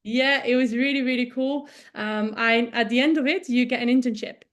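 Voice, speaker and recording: A woman's voice: fairly high pitch, normal speed. A woman in her thirties from the Netherlands, a native Dutch speaker, telling her story casually as an interview guest. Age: 30s. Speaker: interview guest, business founder.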